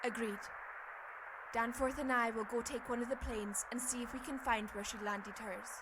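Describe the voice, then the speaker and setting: A commanding woman expedition leader, acted by a woman gives firm orders in a radio play. Her voice is somewhat high and authoritative. There is clear noise behind her. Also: steady tone, moderate pace, natural voice. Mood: grim resolve. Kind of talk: radio drama acting.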